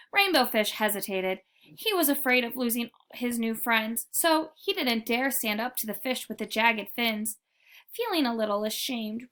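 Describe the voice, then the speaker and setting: High-voiced woman reading a children's story. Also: pushed and bright. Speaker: read-aloud storyteller. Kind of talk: children's picture book narration.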